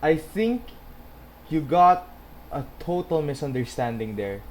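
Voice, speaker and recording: A woman's voice: low voice, animated, unhurried, darkish tone, a little hiss or hum. A woman giving casual let's-play commentary, reacting in short interjections. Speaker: gaming video host.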